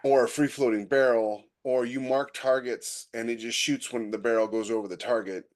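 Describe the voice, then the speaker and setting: A man's voice: mid-range voice, steady tone, normal speed. American man, casual and opinionated podcast talk. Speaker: podcast host. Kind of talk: casual chat.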